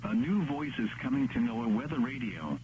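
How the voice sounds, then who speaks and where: A synthetic male announcer reading scripted weather bulletins in a measured, impersonal text-to-speech delivery. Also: mid-range voice, very animated, a little mellow. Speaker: automated weather radio announcer. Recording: noisy radio broadcast with hum.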